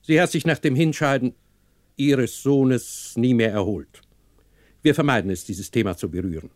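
An actor playing a haughty, wealthy older shipyard owner in a 1960s German radio play, formal, imperious and dismissive. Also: male voice, medium pitch, moderate pace, a little mellow, lightly acted. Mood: cold, dismissive.

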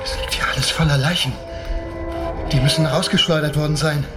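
A man's voice: fairly high pitch, fairly even intonation, fairly quick, over loud music, close to an everyday voice. An actor playing a practical man taking charge. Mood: grim. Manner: matter-of-fact, taking charge. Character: grown man Milton, a crash survivor. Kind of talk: radio play acting.

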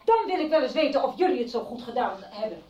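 A woman's voice: high-pitched, sing-song, fast talker, dark tone, breathy edge, exaggerated voice. An actress playing a woman hotly defending a dead friend against her friends' judgement. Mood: defensive. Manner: emotional, defensive. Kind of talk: 1990s radio soap acting.

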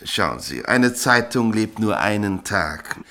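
An actor playing a harried newspaper editor in a radio play, curt, impatient and dismissive. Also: male voice, lowish voice, sing-song, slow, sharp tone, exaggerated voice. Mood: brusque. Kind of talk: 1950s radio play acting.

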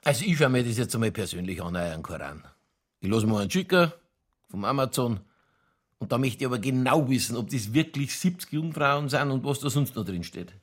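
A raspy Bavarian man, bossy and blunt. He speaks colloquially with an animated, varied melody, handing out orders like someone used to being obeyed. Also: mid-range voice, brisk. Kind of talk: German radio crime drama acting.

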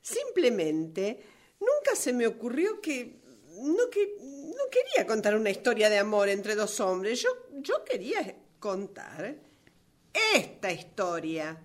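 A woman acting a flustered, defensive novelist in a radio play, with an Argentine Rioplatense accent. Her highish voice moves slowly and hesitantly, stammering in protest, with big swings of pitch. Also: wildly swooping pitch, heavily acted.

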